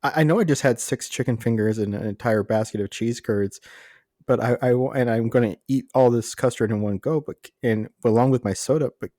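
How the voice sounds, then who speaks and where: A man's voice: mid-range voice, fairly quick, dark tone, no echo, clean studio sound. An American man co-hosting a podcast in casual comedic chat, ranting with mock exasperation. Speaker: podcast co-host.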